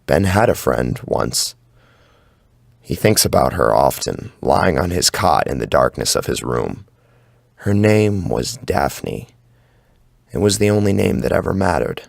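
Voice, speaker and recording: A man's voice: rumbling low, sing-song, measured pace, creaky, no room sound, studio quality. A man narrating a scripted horror story as a brooding, dramatic storyteller. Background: faint music.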